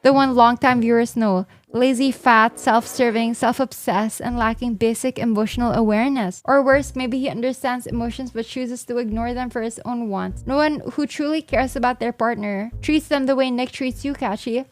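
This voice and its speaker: A woman's voice: a little high, steady tone, moderate pace, few pauses. A woman with a Filipino accent, a livestreamer reading viewer confessions aloud and reacting bluntly. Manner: blunt and exasperated in reactions, mocking the writer.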